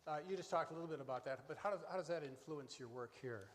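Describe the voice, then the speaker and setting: Guy interviewer, slightly high voice, casual and jokey, reverby hall with background noise. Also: fast. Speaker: interviewer, show host. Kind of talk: casual joking live interview.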